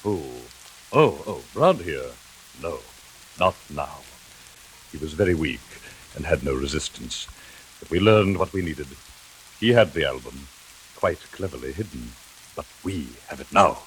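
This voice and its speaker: An actor playing a cold, courteous crime boss in 1940s radio drama, measured and formal, on a hissy old recording. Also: male voice, rumbling low, expressive, poor audio. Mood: smug calm.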